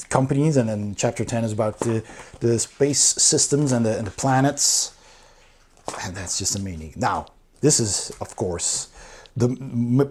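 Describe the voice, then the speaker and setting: A man's voice: lowish voice, a little mellow, a little hiss or hum. A man giving a casual, enthusiastic review, talking through a book as he goes. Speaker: board game reviewer.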